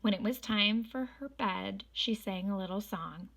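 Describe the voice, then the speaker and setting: A woman narrates a children's storybook. Her delivery is expressive and unhurried, with a bright, forceful voice at a middle pitch. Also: creaky. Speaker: storybook reader.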